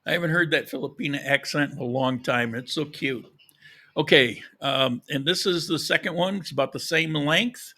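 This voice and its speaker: Man, podcast host, slightly high pitch, bright forceful tone, casual livestream talk, informal, addressing viewers directly. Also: normal speed.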